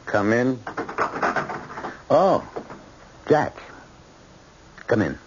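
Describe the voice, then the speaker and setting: A man's voice: medium pitch, very animated, drawn-out words. An actor playing a stern but conciliatory middle-aged American father, a judge, in an old-time radio drama. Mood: uneasy, disapproving. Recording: dull old radio broadcast with hiss.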